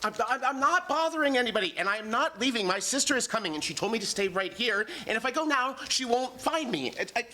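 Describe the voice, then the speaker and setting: A woman's voice: a little high, expressive, fairly quick, hardly any pauses, sharp tone. A woman playing an injured, stubborn, childlike adult brother in a staged reading, whining and stammering impulsively. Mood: agitated, pleading. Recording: live reading with audience noise.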